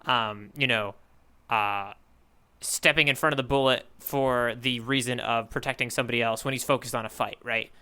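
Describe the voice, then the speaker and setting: A man's voice: medium pitch, steady tone, normal speed, pushed and bright, clean tone. A man co-hosting a podcast, chatting casually in a thoughtful, analytical way. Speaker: podcast co-host. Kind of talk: casual manga discussion chat.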